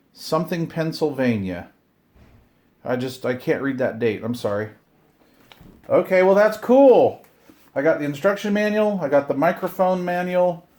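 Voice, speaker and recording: A middle-aged or older man chatting casually to viewers while unboxing, excited and rambling, often talking to himself. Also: on the high side. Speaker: hobbyist video host. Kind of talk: casual unboxing chat to camera.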